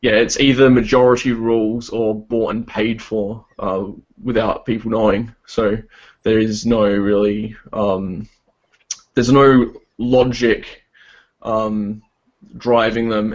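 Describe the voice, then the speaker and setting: A man's voice: lowish voice, level tone, takes it slowly, dull audio. A man, a podcast guest who talks hesitantly, full of ums and restarts. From Australia. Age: 20s. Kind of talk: casual podcast chat. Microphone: online call.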